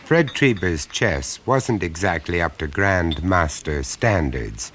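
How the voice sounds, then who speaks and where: An actor narrating a radio play as a wry, measured male storyteller of a suburban tale. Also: low-pitched, expressive, a bit bright, a little hiss or hum, full character voice. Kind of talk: scripted radio drama narration. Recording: 1970s radio play recording.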